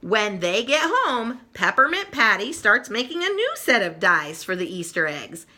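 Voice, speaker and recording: Bright, animated woman reading a storybook. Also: medium pitch, a little slow. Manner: expressive, playful, chuckling asides. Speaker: children's storybook reader. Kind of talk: animated read-aloud storytelling.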